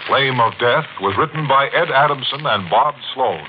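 A man's voice: mid-range voice, normal speed, lo-fi sound. A man announcing in a scripted 1940s radio style as the program's announcer. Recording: very noisy old radio recording.

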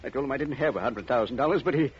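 A man's voice: mid-range voice, rapid-fire, lightly acted. A man playing a nervous but stubborn husband in a 1950s radio drama, with tense, brooding, dramatic delivery. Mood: nervous, defiant. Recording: muffled, noisy old radio recording.

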